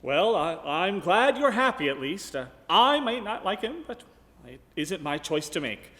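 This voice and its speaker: A man's voice: high voice, very animated, a little slow, a bit bright, heavily acted. A man playing a plainspoken, good-hearted, hesitant farmhand in a comic radio play. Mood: doubtful, resigned. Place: live on stage in a ballroom. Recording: echoey live hall.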